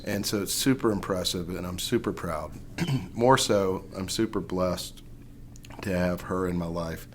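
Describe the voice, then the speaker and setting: Sincere man with a deep voice. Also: measured pace, slight background noise. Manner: sincere and warm-hearted. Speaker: lawyer. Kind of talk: heartfelt family tribute.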